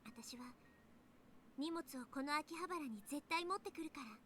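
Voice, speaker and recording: A woman's voice: high voice, expressive, fast talker, a bit bright, a little hiss or hum. A woman playing an earnest, resolute young daughter in a Japanese visual novel.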